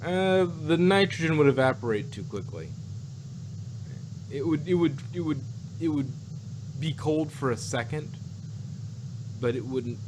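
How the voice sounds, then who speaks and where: A man's voice: on the high side, animated, measured pace, long pauses. Rambling man chatting, noisy recording. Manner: rambling, repeats phrases as he thinks aloud. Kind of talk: casual speculative chat.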